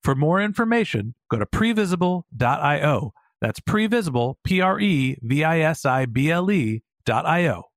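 Lively male ad announcer. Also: fairly high pitch, normal speed, few pauses, no echo, studio quality. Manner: polished, salesy. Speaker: sponsor ad announcer. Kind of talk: scripted ad read.

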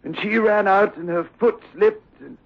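Strict psychiatrist in an old radio drama, pushy and deliberate, really dull lo-fi sound. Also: male voice, sits high. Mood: reassuring, insistent. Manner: stern, deliberate, commanding. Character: authoritative, insistent sanatorium doctor, firm and probing. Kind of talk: 1960s radio drama acting. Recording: muffled, lo-fi old radio recording.

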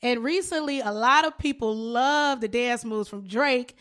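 A woman's voice: on the high side, measured pace, fairly bright tone. A woman hosting a podcast, talking in a playful, hyped, slangy way as she interviews a guest. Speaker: podcast host. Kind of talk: casual interview chat.

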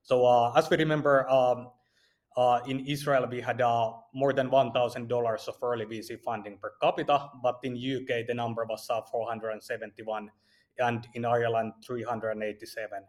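A man presenting research findings and figures as an interviewee, haltingly, with many filled pauses. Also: medium pitch, little pitch movement.

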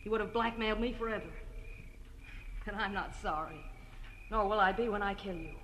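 A woman's voice: medium pitch, fairly bright tone, close to an everyday voice. Hard-edged vengeful radio murderess, played by a woman. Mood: cold threat. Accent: American. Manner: hard, bitter, deliberate. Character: vengeful jealous wife, a cold female killer. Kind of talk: 1940s radio crime drama acting. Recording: noisy vintage radio recording.

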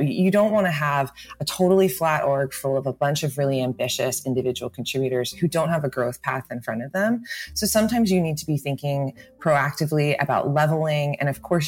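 A woman giving explanatory interview answers in articulate corporate language, as a senior marketing director. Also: rumbling low.

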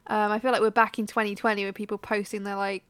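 A woman co-hosting a podcast in casual chat, a bit bunged up from a cold, chatty and quick to laugh. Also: mid-range voice, level tone, fairly bright tone, smooth, dry recording. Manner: chatty, laughs often, drawn-out fillers. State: congested from a cold. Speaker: podcast co-host.

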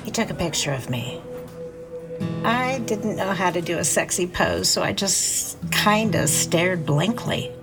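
A woman's voice: fairly low pitch, animated, unhurried, creaky, over music. A woman playing a guarded, self-deprecating divorced businesswoman in middle age, speaking dryly and confidingly in an audio drama. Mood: wry, confiding. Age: middle-aged. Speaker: lead actress and narrator. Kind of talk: confessional first-person narration and dialogue in audio drama.

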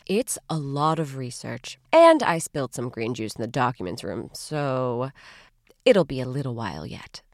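A woman hosting a podcast with a scripted comedic promo, playful and theatrical with deadpan asides. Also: deep voice, no room sound, clean studio sound. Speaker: podcast host. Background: faint music.

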